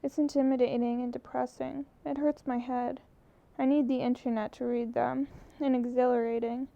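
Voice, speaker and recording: A woman's voice: sits high, little pitch movement, normal speed, gravelly, no echo. A woman voicing a restless, earnest young woman photographer in an audiobook. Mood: musing. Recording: muffled lo-fi recording.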